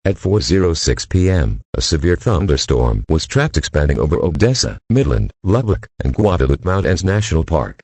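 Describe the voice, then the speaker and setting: A man's voice: deep voice, a little mellow, slightly rough, dry recording. A man reading a scripted emergency weather bulletin as a formal, synthetic-sounding announcer.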